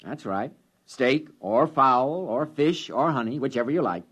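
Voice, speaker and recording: An actor playing a pragmatic, sardonic spaceship crew leader, matter-of-fact, in a 1950s radio drama. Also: male voice, medium pitch, expressive, measured pace, fairly bright tone, husky.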